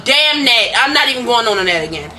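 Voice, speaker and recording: A woman's voice: fairly high pitch, animated, brisk, pushed and bright, slight background noise, poor audio. A woman hosting a casual celebrity gossip vlog, blunt, profane and sassy in how she talks.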